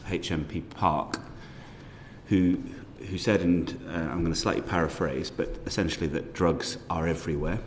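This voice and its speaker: A low-voiced man asks questions at a formal committee hearing in a measured, courteous way. His voice rings with a long hall reverb over faint background noise. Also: fairly even intonation. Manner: measured, polite, hedging questions. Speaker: committee member questioning witnesses. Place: parliamentary committee room.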